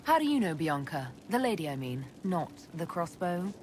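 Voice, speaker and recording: A woman's voice: fairly low pitch, very animated, moderate pace, a little hiss or hum. A woman playing a composed Inquisitor in a video game, asking direct questions and offering reassurance. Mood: curious. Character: composed, probing female fantasy leader and Inquisitor.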